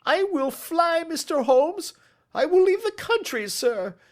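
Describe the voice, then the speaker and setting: Shrill, cringing little criminal. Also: male voice, very animated, a little slow, heavily acted. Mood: terrified pleading. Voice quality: cracked, shrill voice. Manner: pleading, servile, quavering. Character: cringing, terrified small male thief, pleading for mercy. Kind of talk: audiobook character voice.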